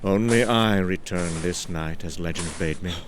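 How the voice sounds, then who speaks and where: A man playing a lonely, uneasy traveller who narrates a gothic horror tale in a brooding, halting, theatrical way. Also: deep voice, noisy background, natural voice. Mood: solemn, brooding. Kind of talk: dramatic scripted horror narration.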